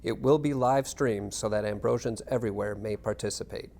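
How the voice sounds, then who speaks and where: A man giving a scripted reading of narration and historical text in a measured, formal manner. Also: on the low side, a little mellow. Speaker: reader.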